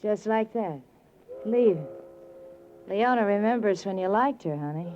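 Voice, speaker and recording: An actress playing a tipsy, clinging, scornful floozy in a 1940s radio melodrama, with a slurred, theatrical drawl. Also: female voice, medium pitch, animated, unhurried, lightly acted. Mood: mocking, wounded. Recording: dull, noisy 1940s radio broadcast.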